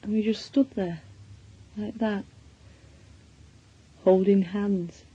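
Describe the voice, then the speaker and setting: An actress playing a shaken, subdued woman in a radio drama, recalling things haltingly and reflectively in a Northern English accent. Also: mid-range voice, fairly even intonation, husky, highs cut off. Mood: subdued, tender. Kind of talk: intimate monologue in radio drama.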